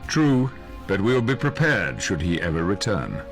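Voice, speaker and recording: A man's voice: low voice, sing-song, a little slow, music in the background, full character voice. A man playing an idealistic, dignified mentor in a video game cutscene, earnest and stately. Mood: resolute.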